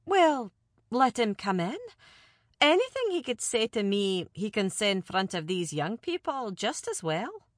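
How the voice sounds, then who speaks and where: Stately noble lady, gracious but commanding, lively pitch, dry sound, read by a woman. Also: measured pace, no room sound. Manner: formal, gracious. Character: commanding noblewoman, dignified and composed.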